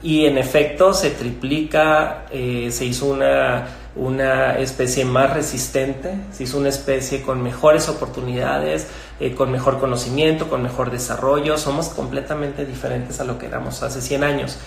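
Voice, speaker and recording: A middle-aged man with a Mexican Spanish accent, a gynecologist explaining things in a measured way with fillers and restarts. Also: mid-range voice, flat delivery, vocal fry, a little hiss or hum. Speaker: gynecologist guest. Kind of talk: conversational explanation. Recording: echoey room with faint ambience.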